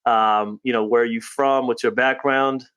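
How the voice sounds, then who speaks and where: A man's voice: mid-range voice, steady tone, moderate pace, fairly bright tone, clean tone. A man hosting a casual podcast interview, chatty and upbeat. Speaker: podcast host.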